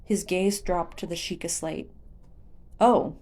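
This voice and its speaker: A woman's voice: fairly low pitch, measured pace, warm tone. A woman reading a story aloud as scripted audiobook narration. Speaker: audiobook narrator of fan fiction.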